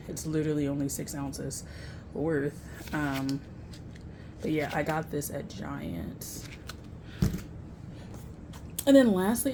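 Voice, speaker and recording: Young American female vlogger, low pitch, informal slangy delivery, noisy home recording. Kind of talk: casual grocery haul chat to camera.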